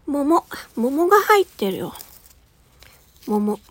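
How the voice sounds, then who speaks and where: A woman with a high voice shares a casual ASMR food tasting. She talks very unhurriedly in short, murmured remarks, with pauses for mouthfuls and some near-whispered words. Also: very animated, dry recording. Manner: talks while eating ice cream. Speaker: audio streamer.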